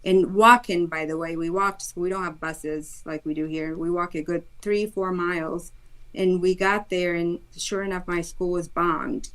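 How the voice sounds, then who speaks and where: Low-voiced woman from Iraq telling her story. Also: low-quality recording. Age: 30s. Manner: earnest, animated storytelling with quick bursts. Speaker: guest, school board candidate. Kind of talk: personal storytelling in interview.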